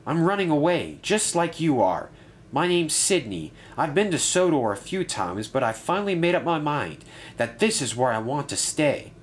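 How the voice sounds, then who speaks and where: A man's voice: fairly high pitch, big pitch swings, normal speed, a little hiss or hum, pitched above the performer's own voice. A man voicing a nervous male runaway diesel engine that stammers and pleads. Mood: pleading.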